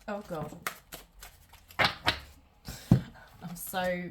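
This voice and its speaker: A woman's voice: fairly low pitch, fairly even intonation, very slow, heavy background noise. A woman doing a casual tarot reading at home, sounding nasal from a cold and rambling with many ums. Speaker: tarot reader.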